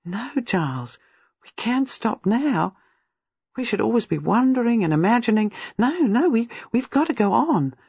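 Anxious young wife, halting and earnest, narrator voicing her, higher and lively. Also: creaky, breathy edge, no room sound, full character voice. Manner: urgent, faltering. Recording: dull lo-fi dry recording.

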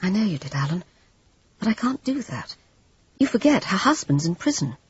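A reader gives voice to a meek, eager-to-please young woman who stumbles over her words. Her lively, swooping delivery has a breathy, husky edge. The recording is dull and dry. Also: medium pitch, normal speed, no room sound, voice pushed up. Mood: flustered. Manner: hesitant, stammering.

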